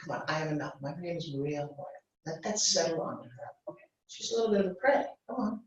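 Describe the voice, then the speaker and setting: A woman's voice: deep voice, expressive, fast talker. Commanding woman coach in a very echoey room. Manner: commanding, interrupting, short blunt instructions. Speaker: business coach leading a workshop. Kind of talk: live hands-on coaching. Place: indoor reverberant room. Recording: echoey room, distant dull microphone.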